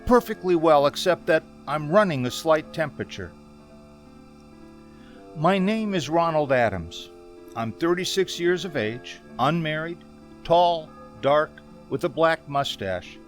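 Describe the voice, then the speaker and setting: A man playing an anxious man in his thirties in an old-time radio drama, speaking haltingly. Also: fairly high pitch, lively intonation, faint music behind. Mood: anxious, urgent. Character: anxious 36-year-old man recounting a haunting road trip.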